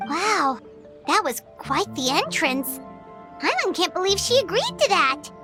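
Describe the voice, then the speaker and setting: A woman voicing a tiny, excitable fairy companion with a squeaky, childlike voice in an anime game. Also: measured pace, music in the background, full character voice. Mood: amazed.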